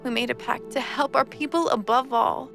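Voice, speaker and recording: Woman doing a wry old pirate lady captain, high pitched, bright, faint music. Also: fairly even intonation, normal speed, vocal fry. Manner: wry, confident, teasing. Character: older female pirate captain, shrewd but kind. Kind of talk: fan comic dub acting.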